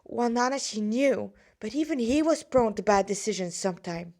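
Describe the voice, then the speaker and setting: A woman reads her own written story aloud in an informal, amateur manner. Her delivery is halting, with stumbles over words and quick self-corrections, and she slips between narration and casual remarks of her own. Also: a little high, animated, darkish tone. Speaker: fan fiction writer reading own story.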